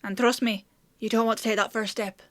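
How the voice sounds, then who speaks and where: Woman acting a high-voiced, teasing fantasy apothecary woman. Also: steady tone, brisk, fairly bright tone, no echo, studio quality, natural voice. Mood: serious warning. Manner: playfully scolding, sardonic, chatty. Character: teasing, bossy female apothecary shopkeeper in a fantasy town. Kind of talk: fantasy audio roleplay, spoken to the listener.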